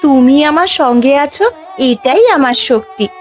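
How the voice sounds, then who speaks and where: Cartoon casting: actress as meek young daughter-in-law, high-pitched, unhurried, patient, plaintive delivery. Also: female voice, expressive, darkish tone, faint music behind. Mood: patient, tender. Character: meek, long-suffering young village wife. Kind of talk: Bengali cartoon fairy-tale voice acting.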